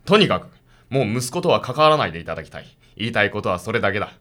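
A man's voice: on the low side, sing-song, rapid-fire, very noisy. Man playing a stern, stuffy police detective. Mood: curt. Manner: stiff, formal, condescending. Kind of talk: radio drama acting.

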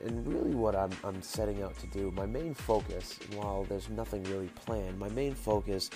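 A man hosting his daily show, talking casually and encouragingly, a bit stuffy and nasal from his sinuses, speaking slowly to enunciate. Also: lowish voice, gravelly. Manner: slow, careful enunciation. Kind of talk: casual motivational monologue.

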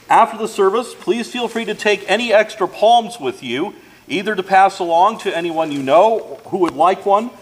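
A man's voice: sits high, slight background noise. An American man speaking as a pastor, giving announcements to his congregation. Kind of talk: church announcements.

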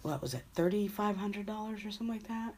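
A young woman co-hosting a casual podcast, her voice raspy from a cold, talking frankly and laughing often. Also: medium pitch, fast, a little mellow. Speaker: podcast co-host. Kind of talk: casual chat.

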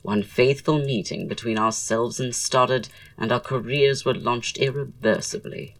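A woman's voice: a bass voice, steady tone, a little slow, no echo, distant mic. A woman acting in an audio drama as a flamboyant, witty, teasing male Victorian playwright, with a theatrical drawl and arch, florid wording. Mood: reminiscing, grand.

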